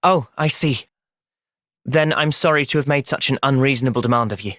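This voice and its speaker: A man playing an earnest, thoughtful young soldier and party leader in a video game, sincere and resolute in a measured way. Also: mid-range voice, fairly bright tone, dry recording, studio quality, lightly acted. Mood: soft, subdued. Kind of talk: video game cutscene acting.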